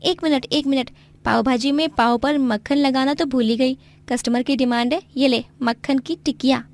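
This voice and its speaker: A woman voices a helpful young sister in a moral tale. Her voice is high, busy and practical. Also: fairly even intonation, moderate pace. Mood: busy, brisk. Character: busy, helpful young sister running family food stall. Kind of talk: Hindi moral story voice acting.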